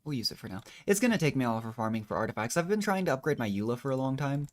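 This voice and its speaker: A man's voice: mid-range voice, big pitch swings, racing. A man doing casual, rambling gameplay commentary as a streamer, thinking aloud in a modest, unsure way. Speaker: video game streamer.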